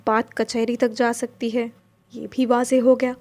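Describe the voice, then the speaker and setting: A woman's voice: a little high, little pitch movement, unhurried, darkish tone. A woman narrating a literary story in a dramatic audio-play style. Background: faint music.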